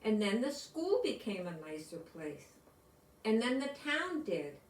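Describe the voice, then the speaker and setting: A woman's voice: a little high, big pitch swings, measured pace. An older woman reads a children's story aloud, adding simple explanatory asides for young listeners. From United States. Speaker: storybook reader. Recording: roomy echo, distant microphone.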